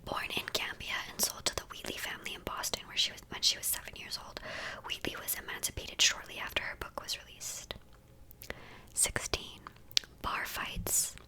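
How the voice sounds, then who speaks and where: A woman in her twenties from the United States, softly whispering through a list of facts in an ASMR video, tripping over words and adding chatty asides. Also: rough recording. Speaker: ASMR creator.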